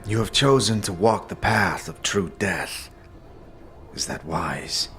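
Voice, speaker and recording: A man playing a solemn warrior-monk mentor, speaking in a grave, formal, measured way as in a fantasy audio drama. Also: low voice, lively intonation, a bit bright, soft background music, exaggerated voice. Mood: grave, probing.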